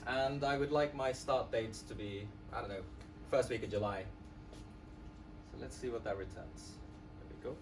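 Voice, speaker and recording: A man's voice: mid-range voice, steady tone, moderate pace, long pauses. A man giving a casual, chatty live walkthrough of an app as a student presenter, with plenty of fillers. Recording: voice from far off the mic.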